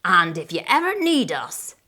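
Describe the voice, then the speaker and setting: A storybook pirate captain, acted out by a woman, gruff and bossy. He bellows his orders in drawn-out pirate slang, with a lively, swooping delivery. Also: on the low side, heavily acted. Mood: gruff, hearty. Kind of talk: storybook character voice.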